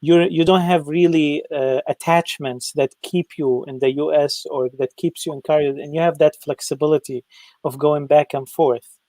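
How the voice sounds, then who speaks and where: A man hosting an interview, asking questions in a halting way with stacked repeated starts. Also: a little high, steady tone, a little mellow, no room sound. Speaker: host.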